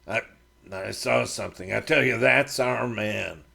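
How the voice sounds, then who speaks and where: A man voicing a stubborn frontier man who talks in a slow, low, grumbling drawl. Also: creaky, sharp tone, husky, heavily acted.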